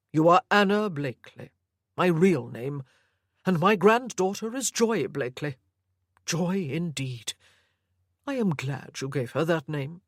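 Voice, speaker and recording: A woman reading the part of an obsessive older gentleman who has kidnapped his supposed daughter. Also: low voice, breathy edge, no room sound, clean studio sound, heavily acted.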